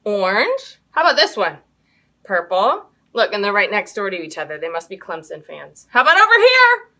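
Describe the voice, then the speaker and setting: An American woman, likely in her thirties, hosts children's storytime indoors with an expressive, sing-song delivery, speaking to young children. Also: medium pitch, normal speed. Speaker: children's library storytime host. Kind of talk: children's storytime, interactive games and picture book reading. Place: small indoor room hung with fabric drapes.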